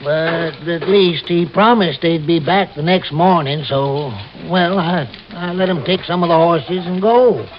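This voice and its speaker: An actor plays a simple backwoods husband in an old radio drama. He is deferential and hesitant, with folksy speech. The recording is band-limited, with clear noise. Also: a little high, measured pace, few pauses, dull audio, close to an everyday voice. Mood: sheepish, worried. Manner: hesitant, folksy, echoing others. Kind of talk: 1940s-50s radio drama acting.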